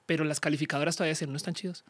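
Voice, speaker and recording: A woman in her thirties with a Colombian accent, a podcast guest explaining things casually with slang and acted-out exchanges, on a studio boom mic. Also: rapid-fire, dry recording. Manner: animated, slangy, acts out quoted exchanges. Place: small indoor studio. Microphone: studio mic on boom arm, headphones.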